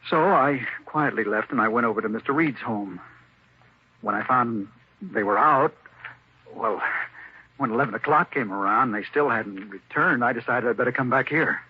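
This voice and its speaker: A man's voice: medium pitch, moderate pace, breathy edge, natural voice. An actor playing a polite, somewhat defensive young male caretaker in a 1950s radio drama. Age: young adult. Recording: dull old radio broadcast with faint hiss.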